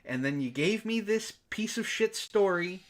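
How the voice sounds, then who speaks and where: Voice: medium pitch, lively intonation, measured pace. A sarcastic, exasperated book club host who swears freely, reading aloud mockingly and breaking off for casual commentary.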